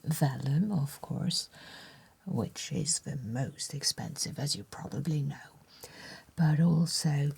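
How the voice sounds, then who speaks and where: A woman playing a courteous, attentive letter writer in an ASMR roleplay, speaking in a soft, breathy whisper with gentle, formal deliberation. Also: deep voice, takes it slowly, slight background noise, heavily acted.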